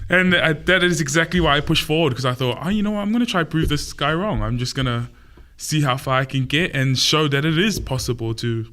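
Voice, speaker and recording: A man's voice: fairly high pitch, a little fast, few pauses. Laughing New man. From Samoa, raised in New Zealand. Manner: laughs often, animated anecdotes. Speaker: opera tenor guest. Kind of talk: casual interview storytelling.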